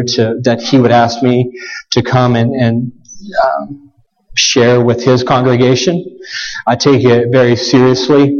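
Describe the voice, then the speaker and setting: A man's voice: medium pitch, darkish tone, highs cut off. A man, preaching as a guest at a church, talking casually and humbly with restarts. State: scratchy voice with a cough. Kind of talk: sermon. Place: church sanctuary.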